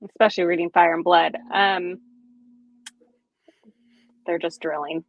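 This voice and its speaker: A woman's voice: fairly low pitch, steady tone, measured pace, vocal fry, highs cut off. A woman hosting a casual, informal discussion among fellow readers. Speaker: host. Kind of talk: casual chat.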